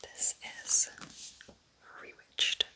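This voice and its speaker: Casting: woman playing a kind, affectionate cottage witch for ASMR roleplay, soothing and chatty, in a breathy, very bright, sibilant whisper. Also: extremely slow, full character voice. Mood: gentle, welcoming. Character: kind, cosy forest witch welcoming a visiting relative.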